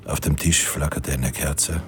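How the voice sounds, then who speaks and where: Super deep solemn guy narrating radio drama, measured, sober, bit of background ambience. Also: steady tone, background noise. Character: sober, omniscient male storyteller of a war romance. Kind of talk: scripted radio play narration.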